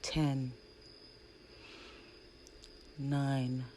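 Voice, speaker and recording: A woman leading a guided meditation in a hushed, soothing, breathy voice with long pauses between phrases. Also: a bass voice, level tone. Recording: faint background noise.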